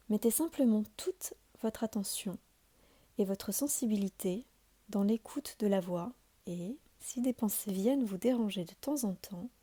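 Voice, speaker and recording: A woman giving calm, soothing guided meditation instructions as a yoga nidra teacher, in a deliberate, measured delivery. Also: medium pitch, breathy edge.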